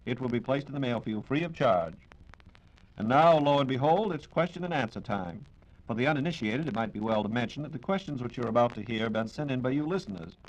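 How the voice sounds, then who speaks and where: A man's voice: mid-range voice, lively intonation, quick pace, poor audio. An American man hosting a 1940s radio program in a formal, polished and courteous announcing style.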